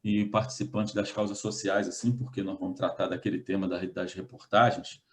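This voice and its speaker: A man's voice: on the low side, level tone, fairly quick, slight background noise. A man with a Brazilian accent hosting a casual interview about language over a video call, chatty and hesitant, trailing off and restarting often. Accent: Brazilian Portuguese. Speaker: host, linguist.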